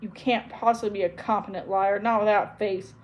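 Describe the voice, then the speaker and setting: A woman's voice: medium pitch, fairly even intonation, brisk, a little hiss or hum, lightly acted. A woman voicing a bitter, cornered witch in a storybook reading, speaking in an intense, scornful way. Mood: mocking.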